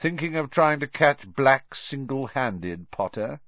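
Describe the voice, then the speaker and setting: A man voicing a sly, malicious schoolboy bully who speaks quietly and slowly with a sneer. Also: mid-range voice, animated, no echo, dull audio, pitched above the performer's own voice.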